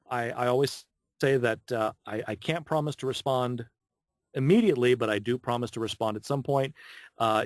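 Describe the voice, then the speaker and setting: A man talking casually as a voice actor guest on an interview show, chatty and telling stories in an animated way. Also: mid-range voice, no echo, studio quality.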